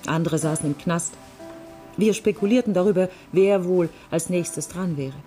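Actress as a low, mellow, wistful woman. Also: normal speed, faint music behind, natural voice. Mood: fond, animated. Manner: confiding, reflective. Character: wistful, passionate woman recalling a past love affair. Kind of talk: radio drama acting.

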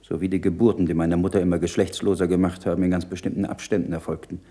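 An actor playing an earnest, troubled learned monk in a radio play, speaking in a measured, solemn, rhetorical way. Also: male voice, sits low, level tone, mellow, slightly rough. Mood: quiet, reflective. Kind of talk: German radio play acting.

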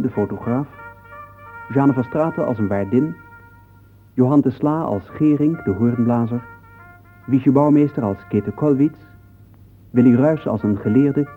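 A man announcing radio play credits in a formal, scripted style. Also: mid-range voice. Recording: thin phone-like old radio recording.

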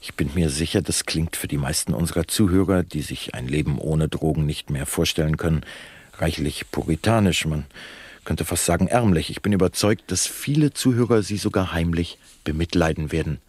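Slick male show host, low husky voice, oily, mock-sincere, theatrical, radio satire. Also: normal speed, no room sound. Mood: mock pity. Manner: unctuous, theatrically solemn, flattering. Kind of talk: satirical radio play acting as broadcast presenter.

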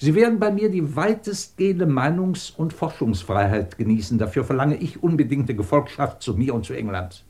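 A man's voice: medium pitch, animated, normal speed, few pauses, warm tone, lightly acted. A man acting a middle-aged, imperious and sardonic British professor who runs a research department, in a commanding 1950s German radio drama style. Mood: probing, intense. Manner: commanding, clipped, probing, sardonic.

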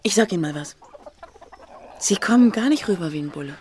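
A woman's voice: lowish voice, animated, brisk, a little mellow, a little hiss or hum, lightly acted. An actress playing an inquisitive, teasing female journalist in a naturalistic radio crime drama. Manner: teasing, pressing with questions.

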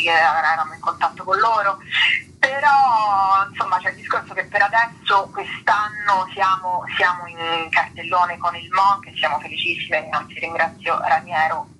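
A woman's voice: medium pitch, sharp tone, slight background noise, low-quality recording. A woman speaking spontaneously as an interview guest, chatty and digressive, often rephrasing mid-sentence. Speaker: actress and writer, interview guest.

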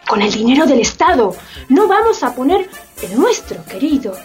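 An actress playing a greedy, snobbish bourgeois wife in a satirical radio drama, gushing and domineering, with a Latin American Spanish accent. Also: female voice, sits high, big pitch swings, moderate pace, a little mellow, soft background music. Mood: scheming, breezy.

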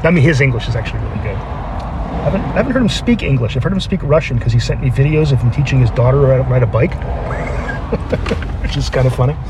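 A man's voice: mid-range voice, expressive, fairly quick. A man chatting casually about languages, talking in loose, rambling sentences full of asides. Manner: chatty, many restarts and asides. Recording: loud vehicle and boat noise behind.

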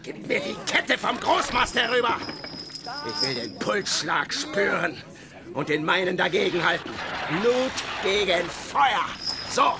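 Radio play casting: fanatical older whaling captain, commanding declamation, slow and weighty, over noisy ambience. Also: male voice, high-pitched, very animated, exaggerated voice. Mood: fevered, exultant. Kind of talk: radio drama acting.

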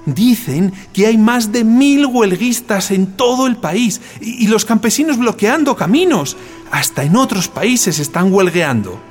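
An excitable male comrade, delivers news in a radio play. His voice sits high and swings widely, exclaiming over a music bed. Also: measured pace. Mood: eager. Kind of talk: radio drama acting.

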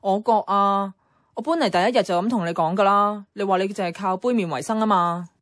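A woman's voice: medium pitch, brisk, a bit bright, no room sound, close to an everyday voice. A woman with a Hong Kong Cantonese accent playing a guarded, blunt young office worker in a radio drama, speaking in a dry, matter-of-fact way. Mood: guarded, curt. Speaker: radio drama actress and first-person narrator. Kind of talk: radio drama narration and dialogue. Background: background music.

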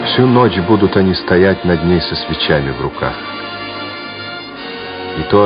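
A man narrating a Soviet war radio play in a measured, solemn, declamatory manner. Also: deep voice. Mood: solemn, hushed. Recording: dull vintage radio play recording, loud music. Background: music.

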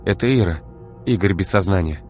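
A man voicing a fierce, sharp-tongued young woman who shrieks when angry. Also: a little fast, soft background music, natural voice. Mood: tense. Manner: shrill, shrieking when enraged.

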